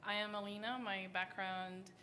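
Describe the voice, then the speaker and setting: A soft-spoken woman gives a startup pitch at an event, hesitating often between words. Her voice sits at a middling pitch, and faint room ambience hums behind her. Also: flat delivery, moderate pace, a little hiss or hum. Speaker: startup team member pitching. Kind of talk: presentation pitch. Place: event hall.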